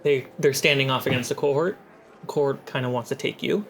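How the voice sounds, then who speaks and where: A game master narrating a tabletop adventure in a relaxed, descriptive way, prompting players. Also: medium pitch, a little fast, vocal fry. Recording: faint music behind.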